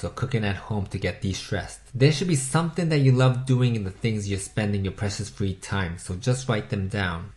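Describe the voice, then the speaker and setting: A man speaking English with a Japanese accent, reading a motivational script at a middle pitch. He paces himself in a measured, teacherly way and keeps turning to the listener with questions. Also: darkish tone. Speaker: self-help video host.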